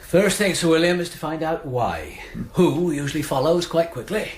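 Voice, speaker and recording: A brisk, inquisitive policeman played by an actor, businesslike in his questioning. His voice is fairly high for a man, in an echoey room, radio drama style. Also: expressive, lightly acted. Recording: echoey room, faint noise.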